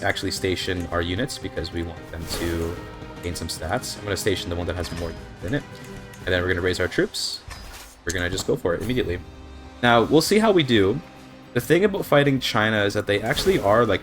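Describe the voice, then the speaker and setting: A man's voice: on the low side, a bit bright. A young man with a slightly scratchy throat, casually explaining his strategy while playing a game, thinking aloud with fillers. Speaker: speedrunner commentating gameplay. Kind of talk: casual gameplay commentary. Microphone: desk microphone.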